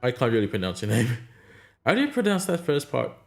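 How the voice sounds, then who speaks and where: A man's voice: medium pitch, wildly swooping pitch, fairly quick, creaky, faint music behind. A man streaming a mobile game, chatting casually and answering his chat in a rambling way. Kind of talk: casual live-stream chat while gaming.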